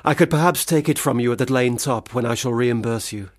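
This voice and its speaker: Man performing failed young gentleman poet, radio drama, self-pitying, wordy, formal, mid-pitched, very dry sound. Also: a little fast, dry recording, natural voice. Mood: pompous, morose. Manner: formal, wordy, pompous period diction. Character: Branwell Bronte, failed, self-pitying, gin-drinking young gentleman writer. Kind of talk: radio play monologue and dialogue.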